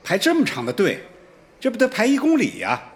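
An actor playing a young male student in a radio drama, an exasperated but good-natured son with an expressive, teasing delivery. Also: high-pitched, fairly quick, heavily acted. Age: young adult. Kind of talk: Chinese radio drama dialogue.

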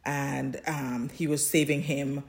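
A woman's voice: rumbling low, little pitch movement, slow, vocal fry. A woman co-hosting a podcast in casual chat, playful and emphatic, with informal language. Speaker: podcast co-host. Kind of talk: casual chat about audio dramas. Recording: roomy, echoey sound.

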